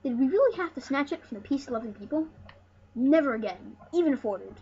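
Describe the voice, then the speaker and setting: Female let's play host, higher register, rambling and jokey, sweary at times, on a dull, slightly noisy mic. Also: expressive, fast, warm tone. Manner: joking, rambling, casually sweary. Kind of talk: casual gameplay commentary, reading game dialogue aloud. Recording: muffled lo-fi mic with background noise.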